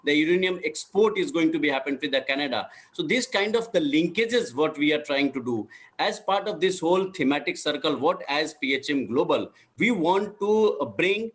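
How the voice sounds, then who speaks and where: Halting man with a slightly high voice. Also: animated. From India. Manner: halting, many repeated fillers. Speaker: health activist. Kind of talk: recorded video statement.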